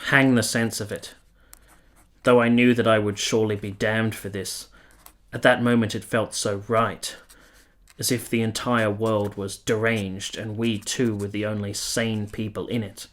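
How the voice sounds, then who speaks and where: Man acting earnest, conflicted romantic hero, measured delivery. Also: on the low side, a bit bright. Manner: earnest, literary, measured phrasing. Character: Giorgio, lovestruck, conflicted 19th-century Italian man, confessional narrator. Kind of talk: radio drama narration and intimate dialogue.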